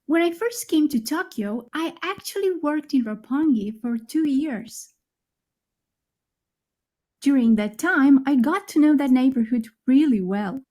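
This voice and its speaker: A young woman speaking her part in a scripted conversation for English learners, clear and friendly. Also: high-pitched, expressive, unhurried, dark tone. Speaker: speaker in a recorded dialogue.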